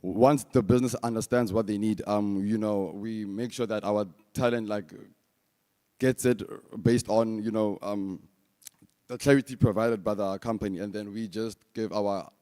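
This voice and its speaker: A man's voice: lowish voice, steady tone, moderate pace, warm tone. A man answering judges' questions as a startup founder, speaking casually and off the cuff. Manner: casual, peppered with "like" and "you know". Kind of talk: unscripted Q&A answer. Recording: long reverberant hall sound.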